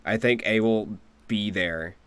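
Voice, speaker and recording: A man's voice: lowish voice, slow, clean tone, dry recording. A man giving casual, conversational playthrough commentary as a game streamer.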